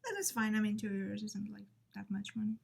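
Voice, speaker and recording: A woman's voice: medium pitch, steady tone, quick pace, creaky, darkish tone, slightly rough. A young woman chatting casually to camera about a doll she got, rambling and loose. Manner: chatty, rambling with fillers and restarts. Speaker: vlogger. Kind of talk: casual doll show-and-tell.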